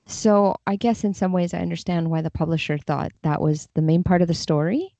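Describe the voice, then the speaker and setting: A woman's voice: on the low side, fairly even intonation, brisk, dark tone, no room sound. A woman talking reflectively and thoughtfully in conversation as an interview guest. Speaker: podcast guest, literary translator. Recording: slightly dull lo-fi audio.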